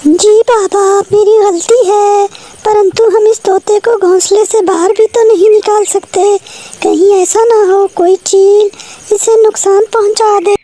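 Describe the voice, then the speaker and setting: A woman doing a very high little sparrow girl. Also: fairly even intonation, a little slow, dark tone. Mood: earnest. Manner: earnest, pleading. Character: kind young female sparrow.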